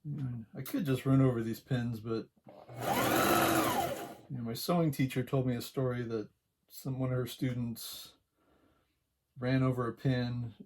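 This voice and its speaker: A quiet-voiced man giving a casual, unhurried hands-on tutorial, talking along as he works, with a gravelly voice. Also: mid-range voice, fairly even intonation, heavy background noise. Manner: unhurried, talks along while working. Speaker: craft tutorial host.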